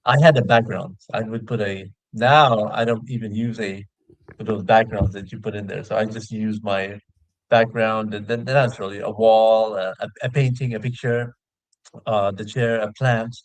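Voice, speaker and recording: A man's voice: lowish voice, no room sound, low-quality recording. A man who hosts his own show, chatting casually as a guest. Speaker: video show host appearing as a guest. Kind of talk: casual chat.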